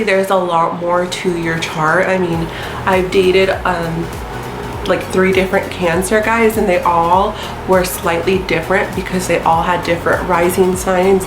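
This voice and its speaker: Woman in her twenties, congested and stuffy, casual rambling chat, lower voice, roomy echo, music underneath. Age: 20s. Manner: chatty, rambling, many ums and likes. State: stuffy nose from a sinus infection. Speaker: astrology vlogger. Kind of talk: casual storytelling to camera.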